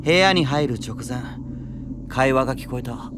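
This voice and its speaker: An actor playing a sly, needling young knight mage who can turn commanding, in a fantasy audio drama. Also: male voice, medium pitch, a little slow, background noise, natural voice. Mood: calm, thoughtful. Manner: playful needling that turns forceful and commanding. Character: young male knight mage, sly, taunting, relentless interrogator. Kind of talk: anime-style fantasy audio drama acting.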